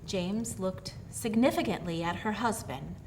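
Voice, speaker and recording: A woman reading a story aloud in expressive narration, with careful dramatic phrasing. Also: mid-range voice, a little slow, slight background noise, poor audio. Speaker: story reader.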